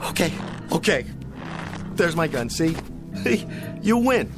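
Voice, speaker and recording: An actor playing a vain, panicky television cowboy star who babbles theatrically. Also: male voice, a little high, sing-song, slow pace, full character voice. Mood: pleading. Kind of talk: radio drama acting. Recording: radio drama with music behind.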